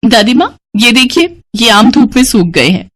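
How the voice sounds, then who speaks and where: A woman's voice: on the high side, sing-song, moderate pace, fairly bright tone, pitched above the performer's own voice. A woman voicing a caring, protective young granddaughter in a moral story. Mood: cheerful.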